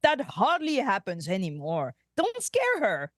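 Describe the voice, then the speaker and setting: A woman's voice: mid-range voice, wildly swooping pitch, a little slow, a bit bright, dry recording, studio quality, heavily acted. A woman playing an earnest, protective young male junior officer at a cartoon script table read.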